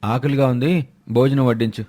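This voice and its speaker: A mid-pitched village milk seller, acted by a man in a storybook moral tale. He is greedy and defensive, forever complaining. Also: level tone, normal speed, dark tone, close to an everyday voice. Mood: grumbling.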